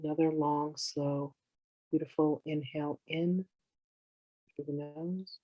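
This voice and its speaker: Meditation guide, soothing, unhurried, level intonation, dry sound, dull lo-fi recording. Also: medium pitch, fairly even intonation, no echo. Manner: soothing, unhurried, gently instructing with drawn-out phrases. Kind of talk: guided meditation, slow instruction.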